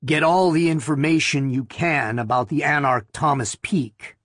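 A man plays a big, assured boss in an audiobook story. He speaks in a measured, thoughtful way. The sound is dry, with no room to it. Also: fairly high pitch, fairly bright tone, dry recording. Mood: decisive.

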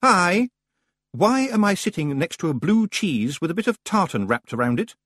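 A man's voice: sits high, big pitch swings, moderate pace, a bit bright, no room sound, studio quality, pitched above the performer's own voice, full character voice. A man voicing an earnest, wary young male hero who speaks plainly and tensely.

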